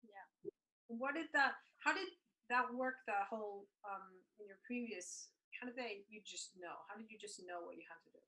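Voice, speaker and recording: A woman's voice: mid-range voice, moderate pace, pushed and bright, low-quality recording. A woman hosting an informal discussion, explaining as she thinks aloud and rephrases mid-sentence. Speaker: discussion host giving workplace tips.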